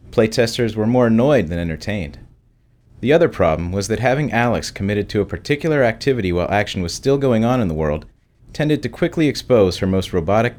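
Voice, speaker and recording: A man's voice: fairly low pitch, a little mellow. A man working as a game developer, reading a prepared commentary.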